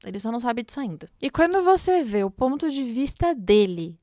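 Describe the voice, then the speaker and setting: A woman's voice: fairly high pitch, very animated, brisk, dark tone, dry recording, clean studio sound. A Brazilian woman hosting a book read-along, commenting casually and expressively like a chatty, gushing fan.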